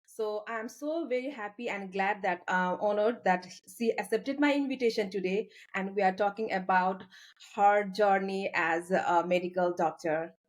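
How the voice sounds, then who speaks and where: She is a woman with a Nepali accent and a voice of ordinary middle pitch. She speaks as the host of a casual interview. Her phrasing is halting, and she often feels her way through a question. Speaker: podcast host.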